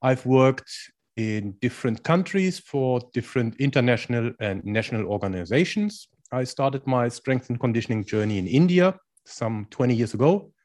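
German-accented man, calm and explanatory. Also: medium pitch, normal speed. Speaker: guest, strength and conditioning coach. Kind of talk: podcast interview answers in English.

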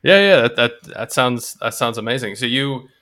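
A man hosts a casual podcast chat with a bright, forward voice. He keeps the guest going with eager, encouraging responses. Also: mid-range voice, lively intonation, moderate pace. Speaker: podcast host.

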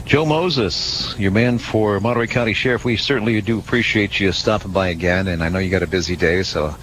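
A man's voice: fairly low pitch, a little fast, a bit bright, low-quality recording. A man hosting a live radio talk show in a casual, chatty and jokey way. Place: live on location radio broadcast. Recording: faint background noise, live location radio.

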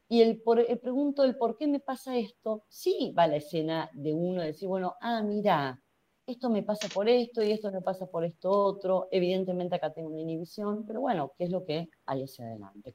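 A woman's voice: medium pitch, dark tone. A woman psychologist with a Rioplatense Spanish accent, answering in a radio interview and talking through ideas by asking herself rhetorical questions. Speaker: psychologist guest.

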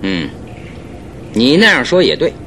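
Analytical detective, radio drama: confident, measured reasoning, lively intonation, music bed. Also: male voice, fairly high pitch, gravelly, a little hiss or hum, heavily acted. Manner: measured, reasoning, rhetorical questions. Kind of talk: Mandarin radio drama acting.

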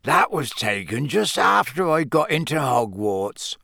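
A man performs a huge half-giant for a storybook. He is gruff but tearful and humble. The words come haltingly in a hoarse, rustic croak, recorded close and dry. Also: on the high side, very animated, pushed and bright, no room sound, exaggerated voice. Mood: fond, halting. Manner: rustic dropped-consonant speech, halting.

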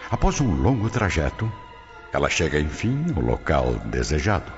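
A man's voice: very deep voice, very animated, normal speed. A man with a Brazilian accent narrating a radio drama from a script, solemn, formal and theatrical. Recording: dull audio, long reverb, music behind.

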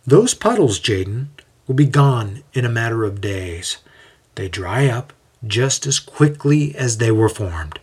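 A man plays a wise older rabbi in an audiobook story. He is serene and light-hearted, musing gently and taking his time as he teaches, in a slightly husky voice. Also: medium pitch, big pitch swings, unhurried, exaggerated voice. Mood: patient, teaching.

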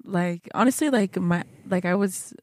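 A woman's voice: fairly low pitch, normal speed, a little mellow, no echo, clean studio sound. A young woman co-hosting a casual podcast, rambling and hesitant as she talks. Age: young adult. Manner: rambling, full of fillers and restarts. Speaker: podcast co-host. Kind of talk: casual chat. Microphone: headphones and studio mic.

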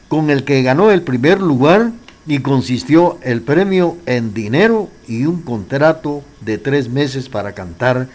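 A man's voice: medium pitch, a little mellow. Casting: male radio presenter, Guatemalan accent, mid-range voice, polite and chatty commentary for a music show. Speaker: radio program host.

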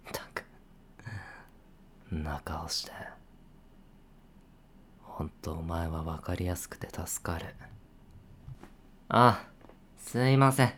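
A young man acting as a playful senior coworker who teases in a casual, offhand way with hushed asides. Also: lowish voice. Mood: apologetic. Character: teasing male senior coworker, playful, secretly fond. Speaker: voice actor. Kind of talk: situation voice drama.

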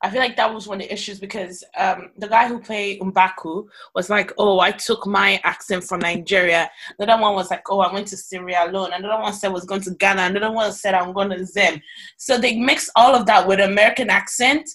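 A woman's voice: mid-range voice, steady tone, fairly quick, a bit bright. A woman chatting casually and animatedly with friends, swearing and laughing easily. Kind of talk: casual chat among friends.

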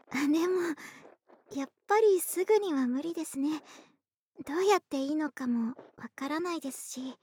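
Actress as high-voiced, gentle big-sister neighbor. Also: female voice. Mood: shy, grateful. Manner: polite, soft-spoken formal speech addressed to the listener. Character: gentle, caring, polite big-sister neighbor woman who pampers the listener. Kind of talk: Japanese ASMR situation voice drama, intimate one-to-one roleplay. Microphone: close ASMR microphone, dry studio.

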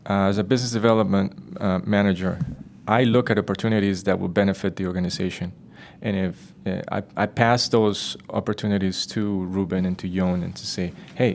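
A man working in business development speaks earnestly in an interview, giving advice about growing a team. His voice is low and steady. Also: vocal fry, slight background noise. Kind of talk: casual interview talk.

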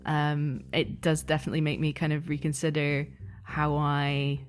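Low-pitched woman chatting thoughtfully in an interview. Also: flat delivery, normal speed. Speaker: interview guest, podcast producer. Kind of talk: casual interview conversation.

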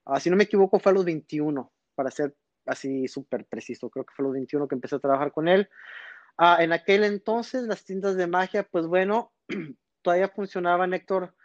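A man's voice: high voice, a little fast, no echo, muffled recording. A man, a guest telling stories at length in a casual podcast interview, full of fillers. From born in Texas, raised in northern Mexico. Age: 30s. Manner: rambling, storytelling, frequent fillers. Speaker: guest magician.

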